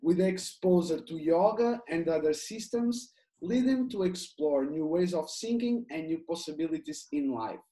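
A man's voice: on the high side, warm tone, low-quality recording. A man hosting an interview, speaking with non-native phrasing. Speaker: interview host. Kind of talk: casual interview.

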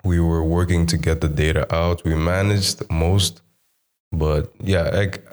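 A young man talking casually about making music, relaxed and repeating his words, slipping Portuguese words into his English. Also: sits low, measured pace. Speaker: musician guest. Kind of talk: casual chat.

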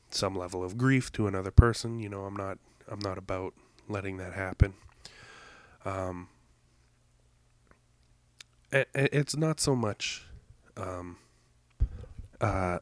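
A man hosting a podcast, congested from allergies, rambling in a halting way with many fillers and self-corrections. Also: low voice, no echo. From Canada. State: congested, stuffed-up nose. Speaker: podcast host. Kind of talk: rambling solo intro.

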